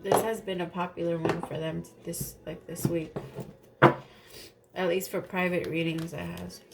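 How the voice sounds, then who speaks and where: A woman's voice: lowish voice, level tone, normal speed, gravelly, very noisy. Stuffy-nosed woman rambling through a tarot reading. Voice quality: nasal, stuffy. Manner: rambling, chatty, grateful, swears casually. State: stuffy from allergies. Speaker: tarot reader.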